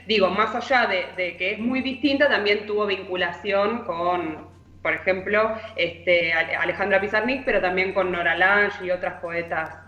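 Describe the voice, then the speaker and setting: A woman's voice: medium pitch, pushed and bright, muffled recording. An Argentine woman giving informal literary commentary as a radio columnist, congested, wandering and correcting herself as she goes. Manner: discursive, self-correcting. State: congested with allergy or flu. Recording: roomy echo.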